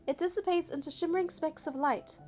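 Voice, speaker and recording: A woman narrating a game playthrough, reading the story text aloud in a steady, even way. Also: very high-pitched, brisk, soft background music.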